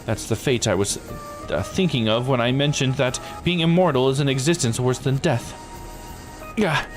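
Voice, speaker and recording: A man's voice: medium pitch, normal speed, music in the background, natural voice. A man voicing a troubled young immortal in a visual novel, speaking with hesitant, questioning uncertainty.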